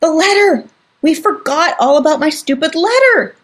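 A woman voicing an irritable, tough teenage girl who talks to her younger brother in a sharp, exasperated way. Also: medium pitch, sing-song, moderate pace. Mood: frantic.

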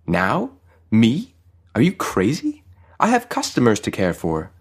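A man's voice: mid-range voice, sing-song, brisk, voice pushed up. A man voicing an anxious middle-aged shopkeeper in a story, pleading and complaining in exasperation. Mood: incredulous. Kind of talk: audio short story character reading.

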